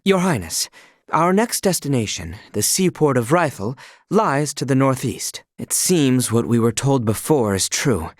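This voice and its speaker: A man's voice: mid-range voice, no echo, clean studio sound. A man voicing a dutiful young knight escort in a video game, speaking formally in courtly, old-fashioned phrasing. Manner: formal, courtly and archaic speech. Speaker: voice actor. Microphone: close studio mic.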